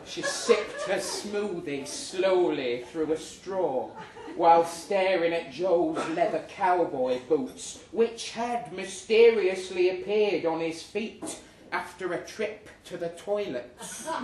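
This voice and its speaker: Echoey man reading a comic story. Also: a little high. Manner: performs the narrator as indignant and sardonic. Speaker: story reader. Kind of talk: dramatic comic short story reading to a live audience. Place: live reading event in a pub. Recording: echoey, noisy pub room, distant mic.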